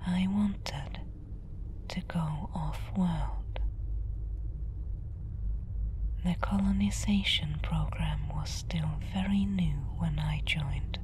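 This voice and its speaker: Very slow, whispering woman as a wistful space colonist. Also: fairly low pitch. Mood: wistful reminiscing. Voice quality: breathy, whispery. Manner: slow, hushed, reflective, with long pauses. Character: wistful, centuries-old woman space colonist reminiscing softly. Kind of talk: soft-spoken ASMR sci-fi roleplay monologue. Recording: clear ambient noise behind the voice. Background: atmospheric ambience.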